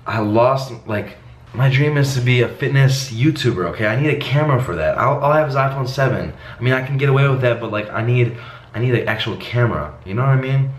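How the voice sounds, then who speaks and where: A man's voice: medium pitch, quick pace. A young man whose first language is Croatian, speaking earnestly and encouragingly to his phone camera in a small bedroom. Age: young adult. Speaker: fitness vlogger. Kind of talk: talking to camera, motivational. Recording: roomy echo, faint background noise.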